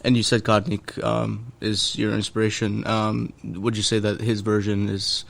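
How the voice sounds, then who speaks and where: A man with a somewhat low voice asks interview questions. He is relaxed and a little hesitant, with plenty of ums along the way. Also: unhurried, creaky. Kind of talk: casual interview.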